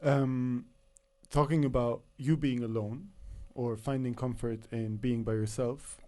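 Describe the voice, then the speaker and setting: A man's voice: medium pitch, steady tone, a little slow, mellow. A man interviewing an artist for community radio, asking hesitant, polite questions with many ums.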